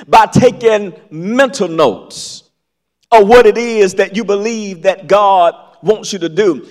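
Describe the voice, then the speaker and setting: High-pitched, slow, animated preacher man. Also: in a large hall. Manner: preaching with dramatic pauses and rising emphasis. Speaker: pastor. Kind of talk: sermon. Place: large church sanctuary.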